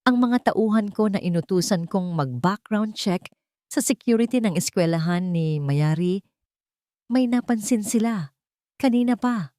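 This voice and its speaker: A woman's voice: medium pitch, animated, unhurried, warm tone, no echo, clean studio sound, natural voice. A woman voicing a businesslike male private investigator reporting to his boss in an audio story. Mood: alarmed.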